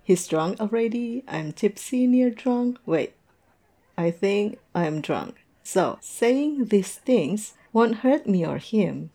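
Woman playing a slow-talking, tipsy lovestruck woman. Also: medium pitch, lively intonation, warm tone. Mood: rueful, tipsy. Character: tipsy lovestruck young woman confessing to her professor, self-mocking. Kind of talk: roleplay audio drama.

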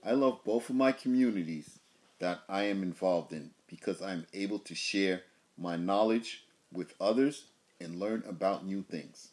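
Man, middle-aged, very low pitch, plain steady answers. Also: a little slow. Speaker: father, contractor. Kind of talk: interview answers.

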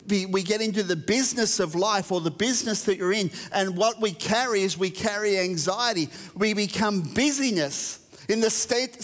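A man's voice: sits high, long reverb tail. An Australian man preaching a sermon, reading verses and talking conversationally to a church. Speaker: pastor.

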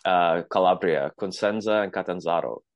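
A man, his voice sitting very low, speaks as a podcast guest in a loose, easygoing way, often reaching for "you know". The sound is close and dry, with no room around it. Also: normal speed, no room sound, highs cut off. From Canada. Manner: relaxed, easygoing, many fillers like "you know". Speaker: podcast guest, boxing coach. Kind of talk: casual interview chat.

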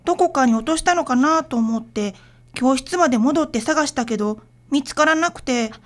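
A woman's voice: sits high, normal speed, natural voice. A woman playing a sad, shy little primary-school girl in a radio drama. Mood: sad, hesitant.

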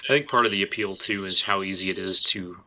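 A man's voice: low-pitched, quick pace, sharp tone. A man hosting a podcast, talking casually and feeling out his phrasing as he goes. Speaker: podcast host.

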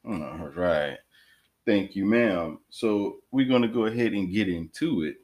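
A man's voice: low voice, unhurried, darkish tone. A man hosting a podcast, joking around and laughing often in loose, rambling chat. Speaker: podcast host.

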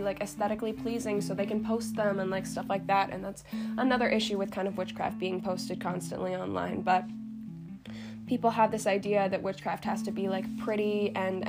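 A woman hosting a solo podcast, talking in a casual, chatty, informal way with frequent filler words. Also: mid-range voice. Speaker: podcast host. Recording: loud guitar music behind the voice. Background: plucked guitar music.

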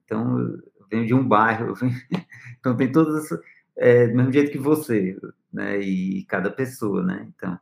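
A man's voice: on the low side, moderate pace, gravelly, roomy sound. A man with a Northeastern Brazilian accent, a musician answering interview questions in a hesitant, searching way. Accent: Northeastern Brazilian Portuguese. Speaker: musician guest. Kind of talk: interview conversation.

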